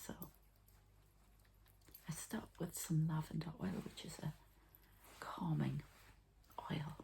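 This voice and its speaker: Whispering woman with a creaky, low-key murmur. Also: steady tone, rough recording. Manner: soft, halting, murmured commentary on each step. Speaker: ASMR energy healer and channeler. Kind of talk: whispered ASMR healing session.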